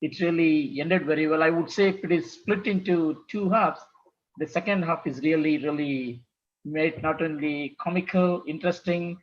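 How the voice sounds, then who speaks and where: A man giving unscripted feedback in a halting way, searching for words, on a lo-fi call. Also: on the high side. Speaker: audience member giving feedback. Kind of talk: unscripted critique. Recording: dull lo-fi video call.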